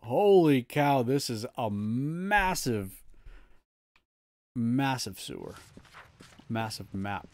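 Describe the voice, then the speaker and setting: A man's voice: medium pitch, very animated, extremely slow, long pauses, dry recording. A man giving casual live gameplay commentary, thinking aloud in short, slangy remarks. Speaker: video game streamer. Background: game music.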